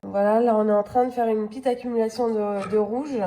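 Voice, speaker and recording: Brief: middle-aged woman vlogger, stuffy cold voice, slightly high, echoey room. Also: fairly even intonation, fairly quick, mellow, a little hiss or hum. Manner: chatty, many hesitations. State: has a cold. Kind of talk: casual home vlog narration. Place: home.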